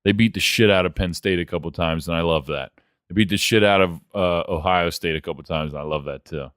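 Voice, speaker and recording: Blunt, low-voiced man talking sports. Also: brisk, a bit bright, smooth, no room sound, studio quality. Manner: profane, blunt, opinionated. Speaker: sports podcast co-host. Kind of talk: casual sports chat.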